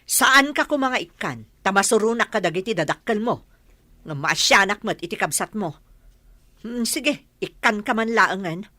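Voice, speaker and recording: A woman's voice: mid-range voice, lively intonation, moderate pace, bright, forceful tone, no room sound. A woman with an Ilocano accent playing a scolding, incredulous but grudgingly kind village auntie in a comedy radio drama.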